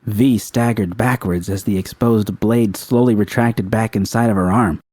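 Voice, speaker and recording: A man's voice: fairly low pitch, normal speed, a little mellow, dry recording, studio quality. A man reading scripted fiction as an audiobook narrator.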